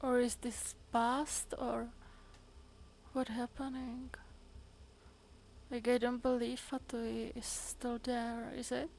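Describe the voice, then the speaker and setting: Female streamer, very slow halting delivery, high voice, casual game commentary, wondering aloud, faint background noise. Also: level tone, creaky, a little mellow.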